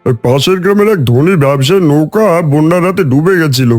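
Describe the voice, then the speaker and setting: Guy as gossipy cartoon village neighbour, eager, voice on the high side, faint music. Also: expressive, brisk, a little mellow, close to an everyday voice. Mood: urgent. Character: village man eagerly bringing news. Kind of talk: animated moral story dialogue.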